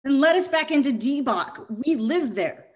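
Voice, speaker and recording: A woman's voice: high voice, expressive, a little slow. An actress playing an anxious, angry colonist mother demanding answers in a screenplay table read. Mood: worried, demanding.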